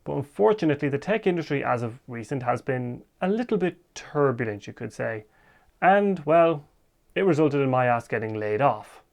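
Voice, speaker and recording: A man's voice: mid-range voice, expressive, normal speed, vocal fry, no echo. An Irish man from Ireland narrating his travel vlog in a casual, wry, self-deprecating way, with the occasional swear. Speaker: vlogger and film photographer.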